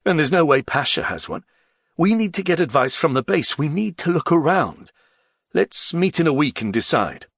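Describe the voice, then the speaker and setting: A weary nobleman in an audiobook read by a male narrator, bitter yet self-controlled. His voice is husky and creaky, tired and dryly ironic. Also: on the high side, lively intonation, normal speed, fairly bright tone, no echo, studio quality, voice pushed up, exaggerated voice.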